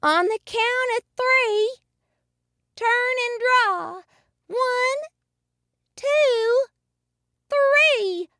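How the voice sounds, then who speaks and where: Very high-pitched bobcat saloon gal. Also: female voice, sharp tone, dry recording, studio quality, exaggerated voice. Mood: commanding. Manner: measured, announcing. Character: pretty female bobcat from the saloon.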